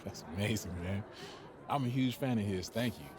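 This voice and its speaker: An actor playing a confident young American basketball draft prospect in a game, talking in a laid-back, colloquial way. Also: male voice, fairly low pitch, normal speed, a crowd in the background, lightly acted. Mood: surprised, pleased. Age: young adult. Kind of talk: naturalistic video game cutscene acting.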